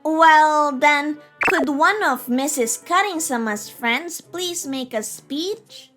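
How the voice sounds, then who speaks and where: A woman plays a wedding emcee in a manga story, formal and polite. The voice is high but pitched lower than a girlish squeal, with slow, measured delivery. Music is clearly heard behind it.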